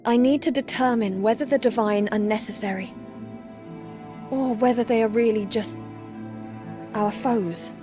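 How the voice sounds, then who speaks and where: An actress playing an earnest, resolute heroine with a measured manner in a video game cutscene. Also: female voice, a little high, fairly even intonation, lightly acted. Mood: calm, resolute. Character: earnest, resolute heroine seeking the truth from gods. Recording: music behind.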